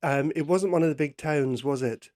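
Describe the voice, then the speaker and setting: A middle-aged man hosting a radio show, his voice sitting slightly higher than most. He stumbles and restarts often, repeating words as he goes. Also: moderate pace, darkish tone. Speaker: radio host. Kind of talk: radio interview.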